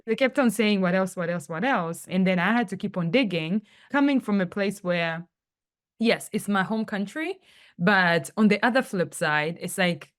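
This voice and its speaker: A woman's voice: medium pitch, expressive, moderate pace. A young woman from Tanzania, a podcast guest, telling her story in a chatty way full of fillers and acted-out quotes. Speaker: guest, travel company founder. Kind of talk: casual podcast interview.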